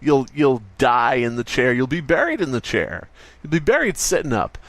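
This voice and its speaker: A man's voice: medium pitch, big pitch swings, normal speed, fairly bright tone, no echo. A man playing an over-eager, rambling salesman obsessed with his product in a spoof radio commercial. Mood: fervent, manic.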